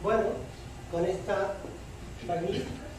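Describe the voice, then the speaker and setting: A man moderating a public debate, speaking hesitantly with pauses between short phrases. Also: high-pitched, steady tone. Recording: very echoey room, distant mic, clear noise.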